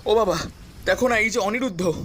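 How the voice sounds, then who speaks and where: A man's voice: high voice, a little fast, fairly bright tone, faint music behind, pitched above the performer's own voice. A man voicing a terrified young brother in a horror cartoon, crying out in panic.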